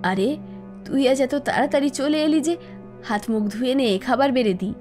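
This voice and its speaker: A woman playing a gentle, caring village mother in a cartoon. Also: high-pitched, moderate pace, soft background music, close to an everyday voice. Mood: affectionate coaxing. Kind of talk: animated cartoon moral-story acting.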